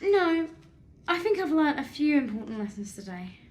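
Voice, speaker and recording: An actress playing a firm, wistful young video maker, earnest and plain-spoken, in an audio drama. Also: female voice, sits high, sing-song, normal speed, a little mellow. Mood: reflective.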